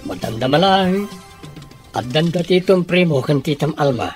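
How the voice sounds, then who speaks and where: A man playing a folksy, chatty middle-aged father and shopkeeper in a radio drama, with a husky voice. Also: fairly high pitch, animated, normal speed, darkish tone, faint music behind, natural voice. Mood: gentle. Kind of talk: Ilocano radio drama acting.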